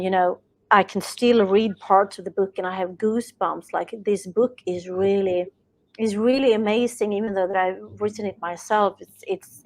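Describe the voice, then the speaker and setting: A Swedish woman speaks as an author guest in an interview, her English carrying a Swedish accent. She talks earnestly, circling back and restarting as she searches for words. Her pitch sits in an ordinary middle range. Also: darkish tone. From Sweden. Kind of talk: podcast interview.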